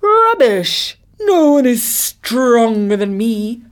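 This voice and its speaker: A huge storybook elephant, acted out by a woman, loud and blustering. The delivery is slow and heavy, full of scornful bullying, with a trumpeting push. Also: medium pitch, animated. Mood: blustering, threatening.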